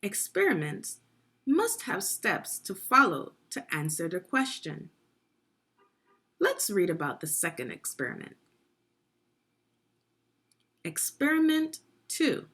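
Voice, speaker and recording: A woman's voice: a little high, huge pitch swings, slow pace, pauses often, bright, forceful tone. A woman leading a guided read-aloud of a children's science book, teaching and prompting young listeners with questions.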